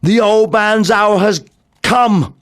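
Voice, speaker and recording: A man's voice: high voice, dry recording, studio quality, voice pushed up. A man playing a nervous, obsessive madman confessing a murder, in a theatrical gothic horror monologue. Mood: frantic, agitated. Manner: theatrical, slow, swinging from hushed to agitated.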